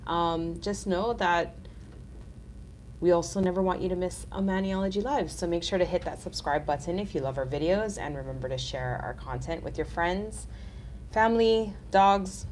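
A woman's voice: fairly low pitch, darkish tone, slight background noise. A woman in her thirties hosting a casual live nail art tutorial, chatty and upbeat, sounding nasal from a cold.

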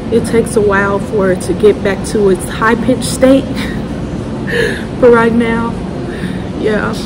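A teenage girl vlogging casually, with a slightly raspy voice and a chatty, bubbly manner as she talks to her viewers. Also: fairly high pitch, fairly even intonation, takes it slowly, heavy background noise. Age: teens. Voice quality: raspy in the morning. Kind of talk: casual vlog chat. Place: city street, then a coffee shop, then home. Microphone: phone held at arm's length.

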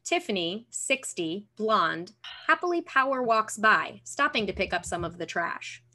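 A woman's voice: mid-range voice, big pitch swings, a little slow, sharp tone. A woman giving scripted narration of stage directions over a video call from a room at home. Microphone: computer on video call.

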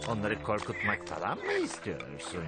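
A man's voice: fairly low pitch, wildly swooping pitch, normal speed. A man voicing a sly, sneering predator villain who bosses others around in a dubbed children's cartoon. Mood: sly, scheming. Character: sly scheming predator villain plotting to catch rabbits. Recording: loud music under the voice.

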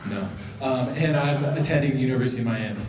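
Fast-talking young man introducing himself. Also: mid-range voice. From Clovis, California. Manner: light joking. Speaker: student musician. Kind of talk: short self-introduction on stage. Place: large concert hall stage. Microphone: handheld mic. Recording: echoey hall from far off, loud crowd noise.

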